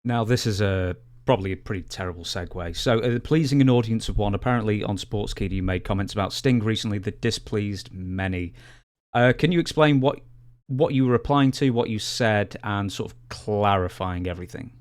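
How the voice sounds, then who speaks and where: Low-voiced male podcast co-host. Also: brisk, clear, resonant tone. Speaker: podcast co-host asking questions. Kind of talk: casual interviewing.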